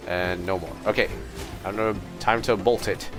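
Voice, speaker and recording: A man giving casual livestream game commentary, thinking aloud in short, unhurried phrases. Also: sits low, steady tone, over music.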